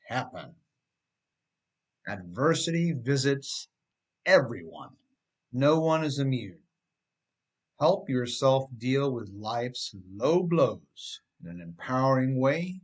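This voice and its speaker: Slow-paced middle-aged man reading motivational narration. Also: medium pitch. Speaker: author reading his own work.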